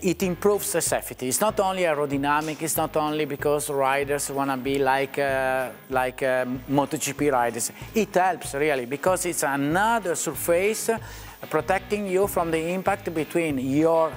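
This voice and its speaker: Italian guy walking through a test lab, slightly high voice, lots of uhs. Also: normal speed, soft background music. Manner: hesitant, explaining with fillers. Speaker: company representative. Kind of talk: explaining on a factory tour.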